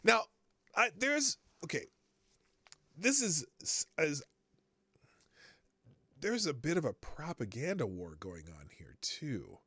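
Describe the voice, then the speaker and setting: A man's voice: fairly high pitch, very animated, pushed and bright, husky, no room sound. A man hosting a live news commentary stream, reading headlines aloud and answering chat, with frequent restarts and fillers. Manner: halting, many restarts and fillers, reads articles aloud, chats with viewers.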